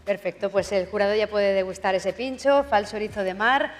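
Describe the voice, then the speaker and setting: A woman's voice: mid-range voice, steady tone, a little fast, big-room sound. Chatty woman host. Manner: upbeat, chatty, mixes in Basque phrases. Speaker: contest presenter. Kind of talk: live event hosting. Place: auditorium stage.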